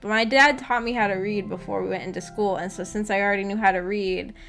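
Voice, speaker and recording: A woman's voice: medium pitch, steady tone, quick pace, gravelly, fairly bright tone. A woman chatting casually as a livestream host, rambling in a giggly, self-deprecating way. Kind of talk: casual chat. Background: music.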